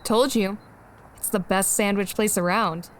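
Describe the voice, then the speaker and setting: A woman's voice: mid-range voice, steady tone, measured pace, a little hiss or hum, natural voice. A woman playing a blunt, nonchalant teenage girl in an amateur comic dub. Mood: nonchalant, curious.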